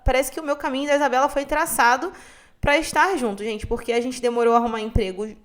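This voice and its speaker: Chatty young Carioca woman, higher voice. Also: fast talker. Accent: Carioca Brazilian Portuguese. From Rio de Janeiro, Brazil. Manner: chatty and expressive, keeps addressing listeners directly. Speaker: podcast host. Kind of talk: casual storytelling monologue.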